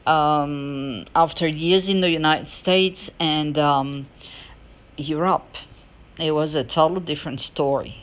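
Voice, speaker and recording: Low-voiced, slow-speaking woman podcaster. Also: steady tone. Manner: hesitant, halting delivery with pauses. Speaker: podcast host. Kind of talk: unscripted personal monologue. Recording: dull, lo-fi audio.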